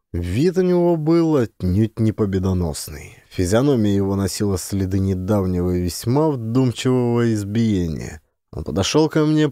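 A man's voice: mid-range voice, mellow, dry recording, studio quality. A man reading a literary memoir as a scripted audiobook narration.

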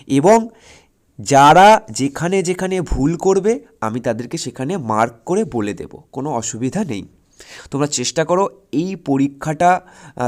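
A man coaching school students for exams in an emphatic, directive way, repeating his instructions and checking that they follow. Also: a little high. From West Bengal, India. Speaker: school exam tutor.

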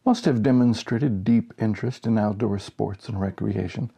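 A man in his sixties giving an expressive reading of a humorous story. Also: on the low side, normal speed, vocal fry, slightly rough. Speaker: story reader. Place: small home office, indoors.

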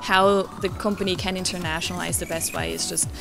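A woman's voice: lowish voice, little pitch movement, brisk, creaky. A woman in her thirties, an international business professor, speaking fluently into a handheld mic in an interview. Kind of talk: on-camera interview comments. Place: indoor room at a rooftop venue. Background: background music.

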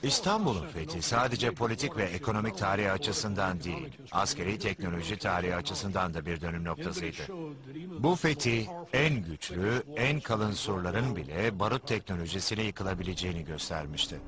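A man's voice: low-pitched, lively intonation, brisk. A man giving scripted, dubbed expert commentary for a documentary. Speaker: documentary voice-over for interviewed experts. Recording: music bed under voice-over.

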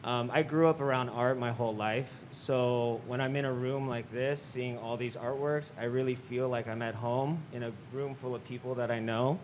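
A man from Los Angeles speaks on a gallery panel in a sincere, thoughtful way. His voice sits at an average pitch for a man. He holds a handheld mic, and faint ambience fills the room. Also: fairly even intonation, normal speed, few pauses, slight background noise. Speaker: artist, panelist. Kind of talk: panel talk. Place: large gallery, indoors.

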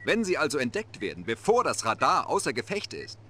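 An intense, animated Soviet officer. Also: male voice, medium pitch, brisk, heavily acted. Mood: urgent, formal. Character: stern, dutiful Soviet military officer advising the dictator. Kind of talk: video game cutscene acting, German dub.

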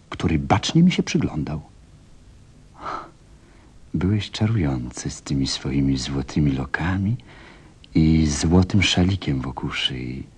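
An actor playing a reflective pilot who narrates a radio play in a wistful, dramatic storytelling manner. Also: male voice, low voice, a little mellow, husky, heavily acted. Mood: amazed. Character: reflective pilot recalling his little friend.

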